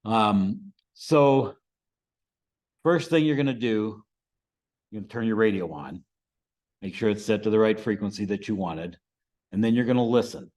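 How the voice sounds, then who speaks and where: A man with an American accent and a fairly low voice presents a lesson to a group. He talks conversationally, posing questions to his listeners and checking in as he explains things step by step. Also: a little mellow. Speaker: presenter, amateur radio instructor. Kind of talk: informal instructional presentation to a group.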